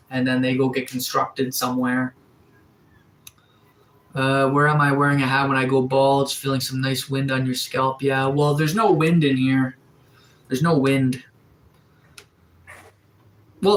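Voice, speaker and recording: A man in his thirties from Atlantic Canada, chatting casually on a live stream, relaxed and rambling with lots of fillers and restarts. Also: medium pitch, moderate pace, pauses often, echoey room. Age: 30s. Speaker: livestreamer. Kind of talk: casual live-stream chat reading viewer comments. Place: sunroom.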